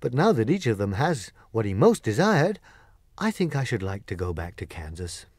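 A man's voice: lowish voice, very animated, normal speed, a little mellow, no room sound, clean studio sound. A man voicing an earnest, sweet-natured young girl in an eager manner for a storybook reading. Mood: contented.

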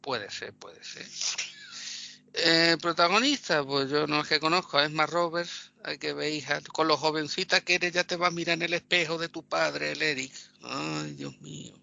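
A man with a gravelly voice, co-hosting a podcast and mocking a film in sarcastic casual chat, laughing often. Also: on the high side, moderate pace, sharp tone, no room sound. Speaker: podcast co-host. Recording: dull lo-fi podcast audio.

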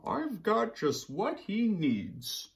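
A man's voice: high-pitched, wildly swooping pitch, vocal fry, pitched above the performer's own voice, heavily acted. A man reading a children's storybook aloud and voicing a sleepy, kind male panda who yawns and drawls. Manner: yawning, drawn-out and slow.

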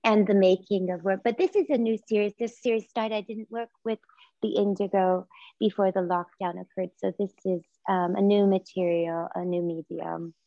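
A woman in her forties whose first language is Japanese, speaking as a visual artist on a video call, soft and reflective with many pauses and restarts. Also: medium pitch, fairly even intonation, vocal fry, no room sound. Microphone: laptop or phone camera on video call. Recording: muffled lo-fi video call audio.

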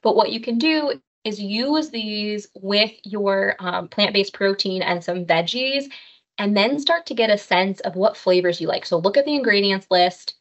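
Woman dietitian teaching online, mid pitch, friendly and practical, lots of ums, slightly muffled webcam sound. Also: animated, unhurried. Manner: friendly, practical, with frequent "um" fillers. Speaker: dietitian teaching a cooking class. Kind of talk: online health class with slides. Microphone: webcam on a video call. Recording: slightly dull video-call audio.

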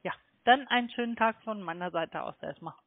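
A woman telemarketer on a sales call. Her pitch is average for a woman, and she comes through a thin, tinny phone line. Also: fairly quick.

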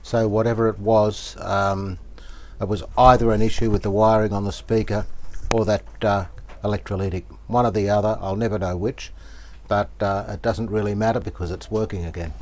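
A man giving casual, unhurried commentary as he works, thinking aloud. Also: lowish voice, flat delivery. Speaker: host, radio restorer. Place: small cluttered indoor workshop. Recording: clear background noise, home workshop.

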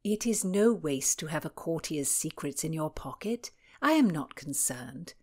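A woman voicing a shrewd, confident gentlewoman in a historical audiobook, composed and unbothered. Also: deep voice, animated, measured pace, husky, heavily acted. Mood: cool confidence. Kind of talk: audiobook character acting.

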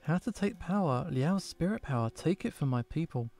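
A taciturn clouded leopard spirit speaks in halting, broken fragments, as a man reads him aloud. The voice sits dark and mellow. Faint music plays under the game dialogue. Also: on the high side, animated. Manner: halting, clipped broken sentences.

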